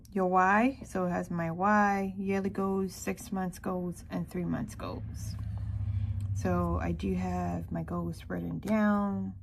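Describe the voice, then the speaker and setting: A woman from Ghana giving a casual walkthrough narration in an unhurried way, with hesitant pauses. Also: on the low side, gravelly, darkish tone, husky. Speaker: planner vlogger.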